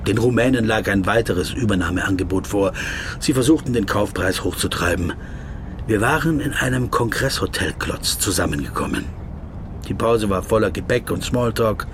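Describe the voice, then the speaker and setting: A man's voice: on the low side, moderate pace, noisy background, natural voice. An actor playing a stocky, fussy legal counsel who recounts a surreal trip in literary first person, savoring his words. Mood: wry, musing. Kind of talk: literary first-person narration in a radio play.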